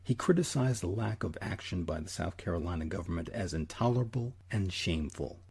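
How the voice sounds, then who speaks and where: A man with a husky voice delivering scripted documentary narration as the host. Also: low voice, animated, fairly quick, a little mellow.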